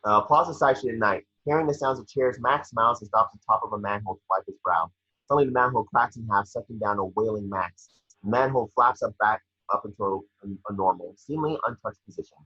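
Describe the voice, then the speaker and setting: A man's voice: on the low side, quick pace, sharp tone, husky. A man reading scripted scene descriptions as narrator, stumbling now and then. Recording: echoey, muffled home microphone.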